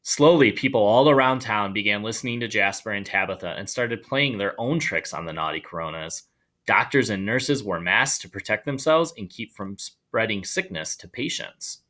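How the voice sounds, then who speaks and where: A man reading a children's story aloud in an animated storytelling style. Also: lowish voice, normal speed. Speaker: storybook reader.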